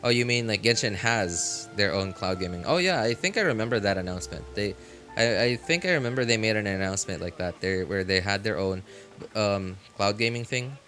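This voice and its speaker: A man's voice: fairly low pitch, animated, fairly quick, sharp tone. Casual male streamer, music playing behind. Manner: rambling, repeats phrases, slangy. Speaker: game live streamer. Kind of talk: casual livestream chat. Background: background music.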